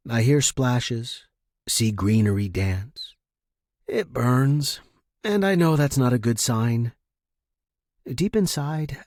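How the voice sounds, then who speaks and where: A man reading first-person fiction as a narrator, in a measured, deliberate and dramatic way. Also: mid-range voice, darkish tone, no echo, clean studio sound. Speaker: story narrator.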